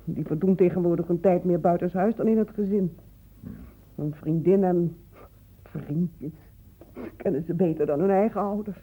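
A woman's voice: fairly low pitch, normal speed, long pauses, mellow, natural voice. A woman playing a reproachful parent complaining about young people in a Dutch radio play. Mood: resigned, reproachful. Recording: thin phone-like old radio recording.

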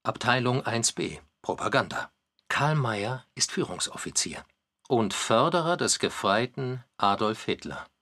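A man's voice: mid-range voice, sing-song, measured pace, sharp tone, heavily acted. A man giving explanatory, musing commentary in a documentary radio play. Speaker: narrator and commentator.

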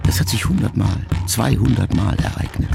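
A man with a very low, gravelly voice reads a literary letter aloud. His delivery is measured and reflective, pausing often between phrases. Clear music plays underneath the narration. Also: animated. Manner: measured, reflective reading with long pauses. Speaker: audiobook reader. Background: soft music.

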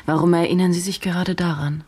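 Probing female journalist, mellow voice. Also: on the low side, steady tone, breathy edge. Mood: probing, reserved. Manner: deliberate, analytical, clipped phrasing. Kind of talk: German radio drama acting.

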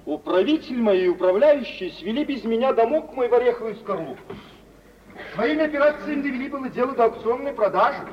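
A swaggering, cheerfully cynical spendthrift gentleman in a 1950s Russian radio play. The high voice swings freely with theatrical familiarity. It sounds distant and muffled, with faint hiss. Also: lively intonation, brisk. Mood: breezy, rueful. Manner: jaunty, theatrical, playfully familiar. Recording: muffled old radio recording, distant, hissy.

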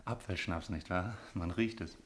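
A man plays a mysterious smuggler, an outsider from the forests, in a radio drama. His voice is fairly low. He talks tersely and with measure, proud and cryptic. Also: normal speed, close to an everyday voice. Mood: dry. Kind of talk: German radio play acting.

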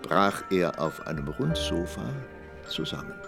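A man narrating a literary radio drama in a measured, deliberate storytelling manner. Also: deep voice, very animated, creaky, over music.

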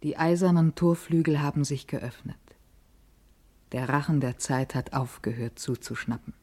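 An actress playing a grave, resolute woman in a literary radio play, speaking solemnly and deliberately. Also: low voice, dark tone. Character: grave, resolute soul musing on ageing and time.

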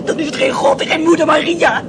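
A desperate young fisherman's sweetheart in an old radio melodrama. Her very high voice is tearful and overwrought, full of fear, on a clearly noisy recording. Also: big pitch swings, quick pace. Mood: despairing, defiant. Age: young adult. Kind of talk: melodramatic radio drama acting.

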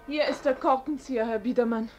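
An actress playing a timid, dutiful housemaid who speaks deferentially in a German radio play. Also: female voice, a little high, steady tone, quick pace, faint music behind, close to an everyday voice. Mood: dutiful, bewildered. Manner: deferential, hesitant.

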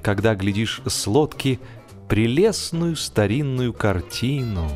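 A man reading a humorous story as an expressive, scripted narration, in a measured, theatrical storytelling manner. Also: mid-range voice. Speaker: audiobook narrator. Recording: music behind the voice. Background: music.

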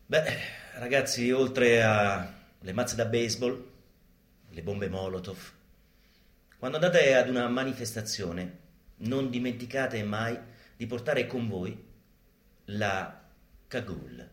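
A man delivering a theatrical, mock-instructional monologue in a deadpan, didactic manner, as a live stage performer. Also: mid-range voice, slow pace, long pauses. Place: live performance space, roomy. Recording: roomy live stage recording with guitar music. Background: live guitar music.

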